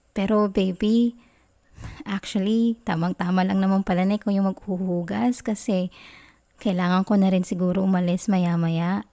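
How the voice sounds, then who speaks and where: A doting young Filipino girlfriend acted by a woman in an ASMR roleplay. Her voice is soft and mellow, sitting at a medium pitch. She talks tenderly and coyly, bashful and giggly with affection. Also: vocal fry. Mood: gentle, teasing.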